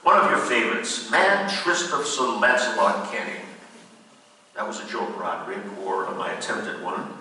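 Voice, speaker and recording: An actor playing an anxious, earnest gentleman in a gothic radio drama, speaking in formal, dramatic language. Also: lowish voice, big pitch swings, normal speed, full character voice. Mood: forced calm. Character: anxious, earnest gentleman friend narrating a gothic horror tale. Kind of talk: theatrical narration and radio drama acting. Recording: very echoey hall, distant mic, faint noise.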